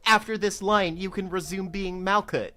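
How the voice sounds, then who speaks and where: A man's voice: high voice, steady tone, normal speed, sharp tone, faint music behind. A man co-hosting a game read-along, chatting casually between script readings.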